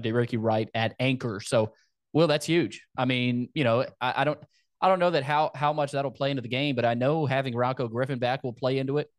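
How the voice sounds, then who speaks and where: A man's voice: medium pitch, no echo, studio quality. An American man hosting a sports podcast, talking through a football preview in a casual, conversational way.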